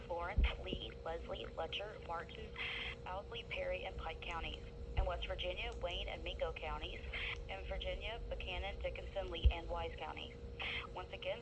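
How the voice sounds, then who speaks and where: A man reading a formal weather service test announcement, with a measured delivery through long lists of counties. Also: sits high. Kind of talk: recorded public test announcement. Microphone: played through a radio receiver. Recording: muffled weather radio with loud static.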